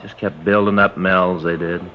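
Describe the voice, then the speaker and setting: A man's voice: low voice, steady tone, moderate pace, slight background noise, lightly acted. A man playing a rambling, put-upon detective partner. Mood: aggrieved. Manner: rambling, aggrieved storytelling. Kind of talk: 1950s radio drama acting. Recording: dull old radio broadcast.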